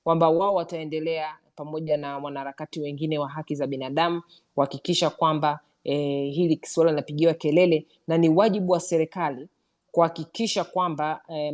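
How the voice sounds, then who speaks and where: A woman hosting a live online discussion, summarizing points quickly with frequent fillers and inviting questions. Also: sits low, darkish tone. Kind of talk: moderating a live audio discussion. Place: online audio room.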